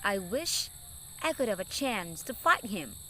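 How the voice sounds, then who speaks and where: A woman's voice: mid-range voice, very animated, a little slow, pushed and bright, a little hiss or hum, rough recording. A woman voicing a brave, earnest young shepherd boy in a children's story. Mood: defiant. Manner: earnest, bold.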